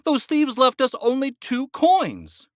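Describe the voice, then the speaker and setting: A man's voice: extremely high pitch, wildly swooping pitch, takes it slowly, no echo, studio quality, pitched above the performer's own voice, full character voice. A man voicing a quarrelsome wife in a storybook, wailing and scolding her husband. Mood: shrill scolding. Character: quarrelsome shrill village wife.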